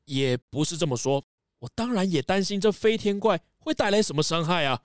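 A man playing a gentle, worried father who lives in an old castle, in a children's audio mystery drama. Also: sits high, animated, fairly quick, dry recording, clean studio sound. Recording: music behind the voice.

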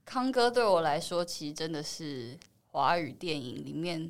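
A woman's voice: sits low, sing-song, moderate pace. A woman with a Taiwanese Mandarin accent, a film actress answering interview questions in a thoughtful, explanatory way, now and then mixing in English words. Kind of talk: reflective interview chat.

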